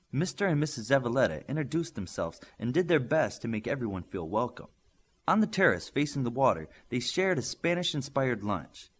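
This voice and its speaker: Guy narrating an audiobook story, pitch moves around a lot. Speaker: audiobook reader.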